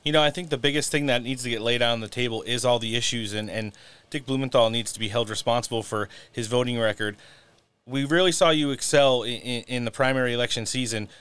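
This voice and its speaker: Male show host, quick and smooth, relaxed political chat. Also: mid-range voice. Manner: loose, filler-heavy with "you know". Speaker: podcast host. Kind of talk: casual political talk show.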